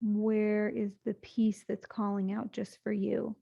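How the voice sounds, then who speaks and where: A woman leading a guided sleep meditation, speaking slowly and soothingly with long pauses. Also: medium pitch, monotone, gravelly, dark tone, lo-fi sound.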